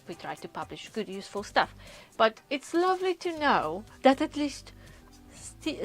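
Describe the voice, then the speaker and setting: Chatty Polish-accented woman, higher voice. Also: fairly bright tone. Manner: chatty, many hesitations, reads viewer comments aloud. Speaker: live stream host. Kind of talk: casual live stream chat. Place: lives in a caravan on a UK campsite.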